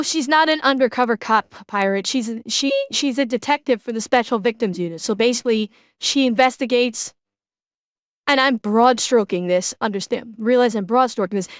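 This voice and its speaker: High-voiced Midwestern woman chatting to her stream. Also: no room sound. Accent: broad Midwestern American. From Chicago, USA. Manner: rambling, self-correcting, talks to chat. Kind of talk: casual reaction stream chat.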